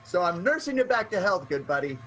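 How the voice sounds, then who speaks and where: An actor playing a chatty, apologetic, fickle would-be murderer in an improvised radio comedy. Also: male voice, high-pitched, very animated, fairly quick, fairly bright tone, exaggerated voice, poor audio. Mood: breezy, apologetic.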